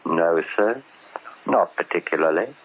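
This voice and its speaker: An actor playing a vengeful ex-convict posing as a deferential, bookish manservant, speaking formally and measuredly with polite menace in old radio horror style. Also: male voice, deep voice, expressive, unhurried. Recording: muffled, noisy old radio recording.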